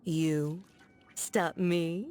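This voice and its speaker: A woman's voice: on the low side, steady tone, drawn-out words, darkish tone, slight background noise. A woman playing a haughty, menacing villainess in a video game, condescending and theatrical in her delivery. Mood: cold contempt. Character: haughty, menacing villainess, elegant Harbinger diplomat with contempt.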